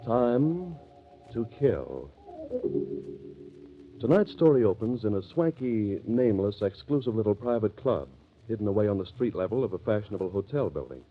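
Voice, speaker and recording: A man's voice: lowish voice, big pitch swings, normal speed. A man announcing and narrating a scripted 1950s radio drama. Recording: very dull lo-fi old radio recording. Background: faint music.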